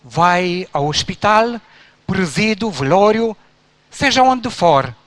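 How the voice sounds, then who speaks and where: A man's voice: high voice, lively intonation, fairly bright tone, no room sound. A man from Romania, preaching in an earnest, rhythmic manner at a church induction service. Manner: slow, earnest, rhythmic listing. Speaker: pastor.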